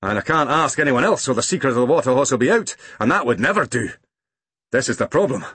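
A man doing a gruff old gardener. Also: medium pitch, lively intonation, fast, a bit bright, no echo. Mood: worried.

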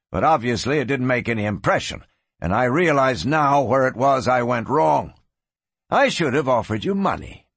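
A man's voice: medium pitch, gravelly, fairly bright tone, no room sound, heavily acted. A man voicing an arrogant, domineering cattle baron in a Western storybook, explosive and sneering. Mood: contemptuous, insistent. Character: arrogant, hot-tempered Western cattle baron, bullying older brother. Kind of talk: dramatised audiobook reading.

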